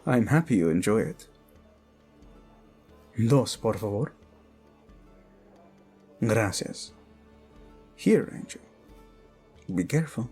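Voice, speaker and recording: An actor playing a protective, lovesick king in a romantic audio drama, speaking tenderly and haltingly with a Spanish accent. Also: lowish voice, mellow, husky, heavily acted. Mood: warm, curious. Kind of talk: intimate romantic audio drama acting addressed to listener.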